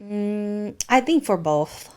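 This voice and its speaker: A woman's voice: medium pitch, animated, takes it slowly, darkish tone, smooth. A woman co-hosting a podcast, telling stories in a chatty, giggly way. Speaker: podcast co-host. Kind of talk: casual chat.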